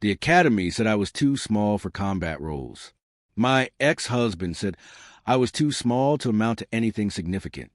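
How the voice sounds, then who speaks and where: A man's voice: on the low side, expressive, moderate pace, no echo, clean studio sound, close to an everyday voice. A man voicing a small, fierce woman space captain in an audio story, wry, teasing and confident. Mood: defiant, teasing.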